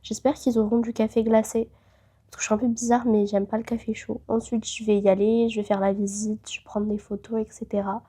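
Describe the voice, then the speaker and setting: A woman's voice: fairly high pitch, level tone, brisk, mellow, husky. A woman in her late teens with a head cold, chatting casually and rambling a little as she vlogs to camera. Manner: chatty, rambling, frequent fillers and restarts. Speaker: travel vlogger. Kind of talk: casual vlog chat to camera.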